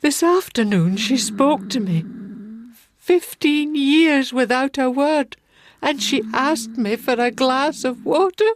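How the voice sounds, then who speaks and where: An actress playing an anxious, devoted older Scottish sister in a radio drama, husky, fretful and emphatic. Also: female voice, high voice, expressive, slow, a little hiss or hum. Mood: distraught, pleading.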